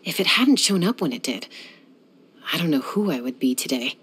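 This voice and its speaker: A woman's voice: deep voice, sing-song, moderate pace, fairly bright tone, breathy edge, full character voice. A young woman voicing a self-assured, dryly teasing gunslinger who flies and settles disputes, in video game voice lines. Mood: cool. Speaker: game character.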